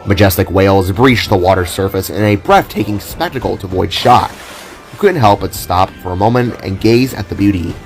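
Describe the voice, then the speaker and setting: A man reading scripted fantasy narration for an audio drama in a measured, descriptive storybook way. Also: low-pitched, expressive. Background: soft background music.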